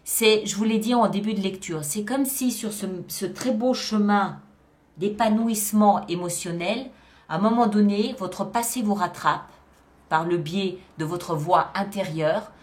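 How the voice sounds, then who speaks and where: A woman's voice: medium pitch, measured pace, a little mellow. Woman giving an emphatic tarot reading. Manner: emphatic, explanatory, repeating phrases for emphasis. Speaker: tarot reader and channel host. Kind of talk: spoken tarot card reading addressed to viewers.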